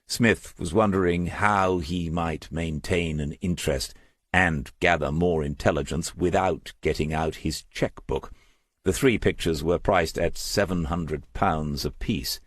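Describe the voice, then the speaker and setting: A man's voice: low-pitched, a little slow, no echo, studio quality. A man narrating a crime novel as an audiobook, reading scripted prose.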